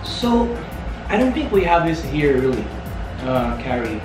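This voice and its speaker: A man's voice: mid-range voice, big pitch swings, a little slow. A young Filipino man with a Filipino accent, chatting upbeat and tasting food indoors, laughing often. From Philippines. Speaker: vlogger. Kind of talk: casual food tasting chat. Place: indoors in a small room, seated at a table. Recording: echoey room from a distance with music.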